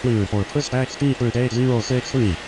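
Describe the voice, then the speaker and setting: A man's voice: medium pitch, fairly even intonation, moderate pace, noisy background, lightly acted. A man voicing a businesslike airline pilot in a flight game, using scripted radio phraseology.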